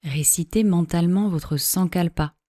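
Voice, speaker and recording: A woman teaching yoga nidra, giving calm, measured guided-meditation instructions in a soothing way with long pauses. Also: on the low side, no echo, clean studio sound.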